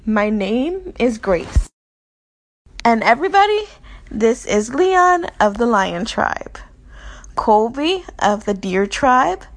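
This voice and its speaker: A woman doing a shrill, indignant woman scientist. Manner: high, slow, emphatic.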